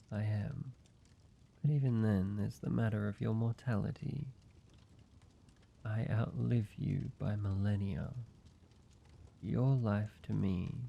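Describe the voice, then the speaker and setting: A man voicing a weary, guarded ancient vampire who is grieving yet tender, speaking haltingly in an intimate roleplay audio drama with a gravelly voice. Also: dark tone, dull audio, slight background noise. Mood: sorrowful, resigned. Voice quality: low, gravelly. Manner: slow, halting, hesitant delivery with pauses.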